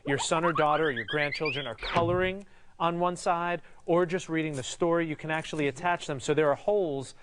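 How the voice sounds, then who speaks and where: A man's voice: on the high side, brisk, fairly bright tone, a little hiss or hum. A shopping channel host, a man, giving a casual, upbeat product presentation.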